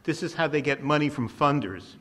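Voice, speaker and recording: A man's voice: fairly high pitch, lively intonation, brisk, a bit bright. A man reading a written anecdote aloud at a live memorial event.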